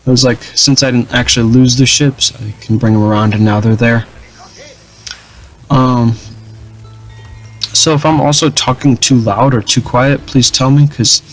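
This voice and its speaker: A young man casually commenting over his strategy game, rambling with many fillers and restarts in a flat, dull-sounding voice. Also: mid-range voice, a little slow, long pauses. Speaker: gaming channel host.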